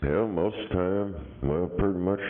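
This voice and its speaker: A man with a deep voice talking crude, combative, mocking banter over a CB radio. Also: moderate pace, heavy background noise. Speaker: CB radio talker. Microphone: radio handset. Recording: tinny CB radio transmission.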